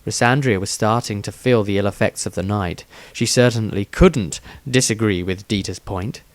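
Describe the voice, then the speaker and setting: A man's voice: fairly low pitch, moderate pace, no room sound. A man narrating a fantasy tale in a scripted, dramatic audiobook style. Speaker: author narrating his own story.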